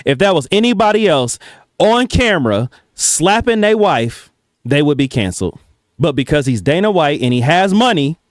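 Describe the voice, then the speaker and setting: A man's voice: fairly high pitch, dry recording. A male reaction host talks casually and bluntly. His speech is slangy and peppered with swearing, and the sound is dry and close, with very little room on it.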